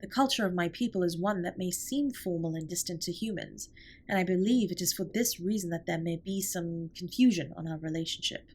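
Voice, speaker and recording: A woman playing a formal, earnest, caring alien spaceship captain in a scripted comfort roleplay, speaking softly and breathily with measured, precise wording. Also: lowish voice. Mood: resolute, caring. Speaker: audio roleplay performer. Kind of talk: scripted comfort roleplay, intimate one-sided dialogue.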